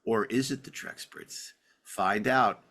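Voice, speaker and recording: A man's voice: lowish voice, slow pace, pushed and bright, slightly rough. A man hosting a podcast in a joking, digressive, casual style, bantering with co-hosts and reading out show notes. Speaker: podcast host.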